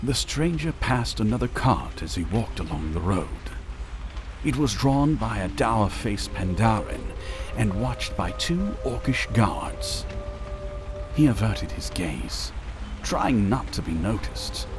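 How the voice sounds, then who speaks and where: A man narrating a scripted fantasy story in a dramatic, measured storytelling manner. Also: lowish voice, big pitch swings, over music.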